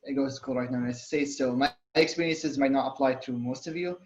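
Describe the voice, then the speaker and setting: A man's voice: mid-range voice, steady tone, quick pace, echoey room, lo-fi sound. A young man from Saudi Arabia, a student on a college panel, talking casually with many fillers and restarts. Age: young adult. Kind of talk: casual panel talk on a video call.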